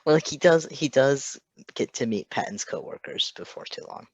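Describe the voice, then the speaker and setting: A woman's voice: very deep voice, expressive, moderate pace, darkish tone, slightly rough, no echo. A woman chatting casually and enthusiastically about a story as co-host.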